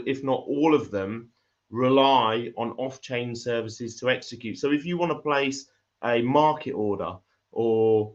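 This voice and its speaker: A man, a crypto project founder, talking in a livestream interview with a croaky voice from illness, often repeating words and restarting his sentences. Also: medium pitch, lo-fi sound.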